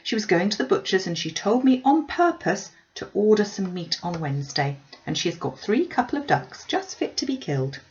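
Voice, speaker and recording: A woman's voice: medium pitch, very animated, brisk, hardly any pauses, a little mellow, muffled recording, lightly acted. A woman voicing a busy middle-aged gossiping aunt in a classic novel, chatty and matter-of-fact. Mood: confiding. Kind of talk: classic novel read-aloud.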